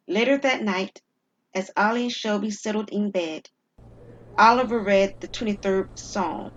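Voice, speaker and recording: Woman reading a suspense story aloud, measured delivery, medium pitch, bit of noise. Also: low-quality recording. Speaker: storyteller and performer. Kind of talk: scripted dramatic story narration.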